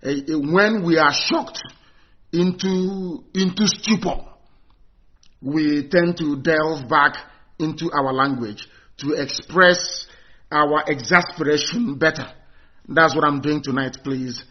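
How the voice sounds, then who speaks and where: A man's voice: a little high, slow pace. Impassioned man on a lo-fi stream. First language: Igbo. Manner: fervent and agitated, crying out exclamations and rhetorical questions. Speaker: broadcaster of a live stream. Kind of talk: impassioned live-stream address.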